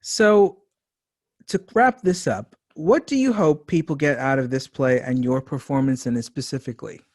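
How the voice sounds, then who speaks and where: A man's voice: mid-range voice, moderate pace, no echo, studio quality. A man acting as interviewer in a casual, conversational style. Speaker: interviewer, writer-director.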